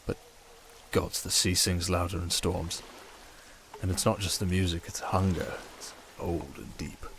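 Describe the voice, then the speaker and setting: Low British male siren, softly dangerous, acted by a man. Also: little pitch movement, measured pace, noisy background. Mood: tempted, brooding. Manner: wry, hesitant, confiding, addressing one listener. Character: sardonic, restrained, softly dangerous male siren sea creature. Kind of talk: ASMR roleplay, intimate scripted monologue. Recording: water and storm ambience behind voice. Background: running water and rain ambience.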